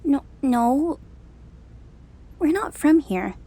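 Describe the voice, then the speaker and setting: A woman voicing a timid, hesitant, gentle young robber in a fantasy audio drama, halting and unsure. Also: high voice, sing-song, exaggerated voice. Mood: nervous, hesitant.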